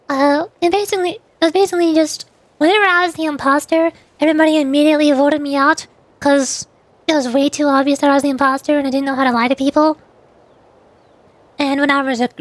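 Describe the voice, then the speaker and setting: High-voiced woman streamer chatting casually. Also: no echo. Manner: rambling, self-interrupting, laughs at herself. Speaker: VTuber streamer. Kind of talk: casual livestream chat.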